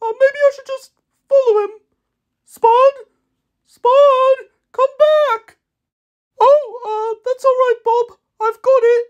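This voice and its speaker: A man's voice: very high voice, steady tone, slow pace, pauses often, highs cut off. A man voicing a timid, anxious cartoon crane truck in a hesitant, stammering manner. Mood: nervous, fretful.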